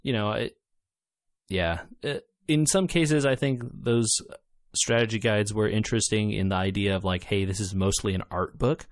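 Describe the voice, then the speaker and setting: A man with a lowish voice co-hosts a casual gaming podcast. He retells things in an animated way, often acting out quotes. The sound is dry and close. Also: normal speed, no room sound. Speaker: podcast co-host. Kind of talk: casual chat about video games.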